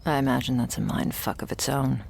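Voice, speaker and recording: A woman playing a wry, guarded young thrall in a vampire ASMR roleplay, gravelly and often slipping toward a whisper, with a dry, hesitant way of musing. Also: a bass voice, flat delivery, fairly quick, darkish tone, slight background noise.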